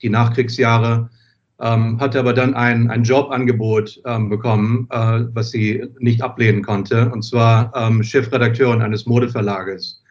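A man raised in Germany and born in England speaks as an interview guest in a medium-pitched voice. He feels his way through answers, hesitating and repeating words. The sound is a little dull. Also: level tone. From born in England, grew up in Wiesbaden, Germany. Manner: hesitant, pausing and repeating words while thinking. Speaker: podcast guest.

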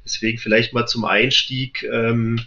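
A man with a mid-pitched voice hosts a casual interview. His phrasing is halting, with repeated words as he builds a question. The recording sounds a little dull and lo-fi. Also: little pitch movement, vocal fry, bright, forceful tone. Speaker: interview host.

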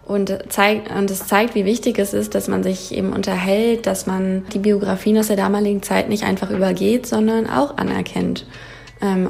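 Gravelly-voiced woman podcast host. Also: medium pitch, a little mellow. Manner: earnest, reflective, some fillers. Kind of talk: podcast interview and wrap-up.

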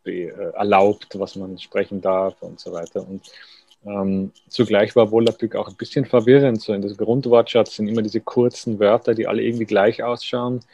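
A man's voice: on the low side, flat delivery, normal speed, vocal fry, mellow, husky, no echo, dull audio. A man, a writer thinking aloud in a thoughtful, self-deprecating way as a radio interview guest.

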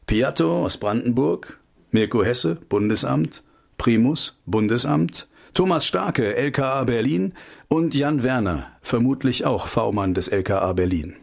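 Male investigator for radio drama: gravelly, husky, terse and knowing, mid-pitched. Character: male investigative researcher briefing a contact, urgent and knowing.

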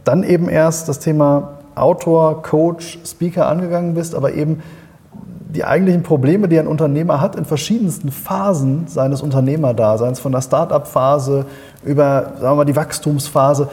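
Interview host, man, dark gravelly voice, reverberant room, asks long framing questions. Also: on the high side, steady tone, moderate pace. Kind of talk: podcast interview.

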